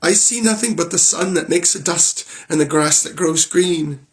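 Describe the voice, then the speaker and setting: A young woman high on a castle tower calls down in a light, sing-song voice. She answers evenly and plainly, voiced by a man reading a fairy tale. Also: moderate pace, natural voice. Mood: lookout report. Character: sister watching from a tower.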